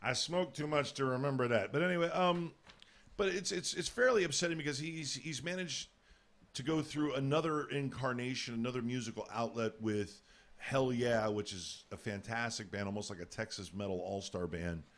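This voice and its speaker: A man with a big booming voice hosting a casual live radio show, talking in a rambling, halting way. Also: fairly bright tone.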